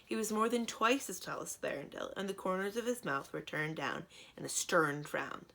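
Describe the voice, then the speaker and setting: Low-pitched woman reading a storybook aloud. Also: expressive, normal speed, creaky, bright, forceful tone. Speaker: library storyteller. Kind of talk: children's storybook narration.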